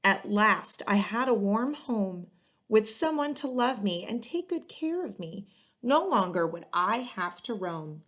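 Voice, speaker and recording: A woman hosting a children's read-aloud, speaking in clearly phrased storytelling style. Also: mid-range voice, very animated, unhurried, fairly bright tone. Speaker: museum educator and read-aloud host. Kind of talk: reading a children's picture book aloud.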